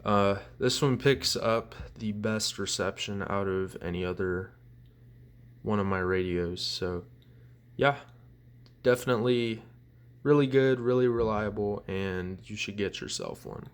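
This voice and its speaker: A man's voice: lowish voice, a little slow, smooth. A young man giving a casual show-and-tell as a collector, rambling along in a blunt, self-deprecating way. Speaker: collector presenting weather radios.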